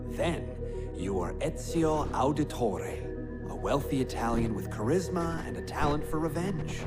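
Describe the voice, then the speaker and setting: Give the game a male actor as a sardonic, unhinged man trapped in a machine. His delivery is theatrical and mocking, with very lively swings in pitch. He sounds like a taunting guide who knows more than he says, over prominent music. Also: close to an everyday voice. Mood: mocking, ominous. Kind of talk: dramatic video game narration and dialogue.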